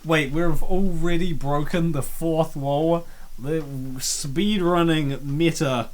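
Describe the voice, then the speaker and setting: A let's-play host chatting casually over a visual novel. They read the on-screen text aloud and react along the way, at a medium pitch. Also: steady tone, slow pace. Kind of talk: casual game commentary.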